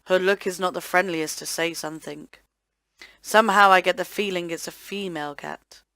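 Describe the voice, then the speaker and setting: A woman hosting a let's play, reading visual novel narration aloud in an expressive, theatrical way. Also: fairly low pitch, moderate pace, no room sound. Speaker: host of a gaming let's play channel.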